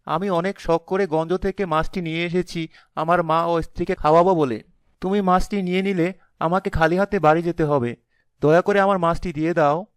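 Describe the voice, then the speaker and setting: An actor playing a timid, polite village husband in a cartoon story, with an earnest manner. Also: male voice, fairly high pitch, fairly even intonation, normal speed, clean tone, no echo, lightly acted. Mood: pleading.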